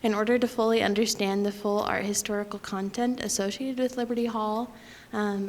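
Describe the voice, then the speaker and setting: A young woman from Utah giving a prepared lecture at a podium, talking quietly with frequent ums. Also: mid-range voice, slight background noise. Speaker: guest lecturer.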